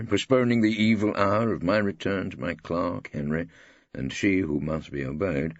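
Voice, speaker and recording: A man with an English accent playing a wry, irreverent older barrister, gravelly and droll, narrating an audiobook. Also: rumbling low, no echo, muffled recording, heavily acted. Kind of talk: first-person audiobook narration with dialogue.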